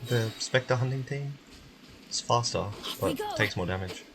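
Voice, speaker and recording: A man's voice: medium pitch, measured pace, noisy background. A man chatting casually as he plays through a game live. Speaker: game streamer. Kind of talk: casual live playthrough chat. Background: game music.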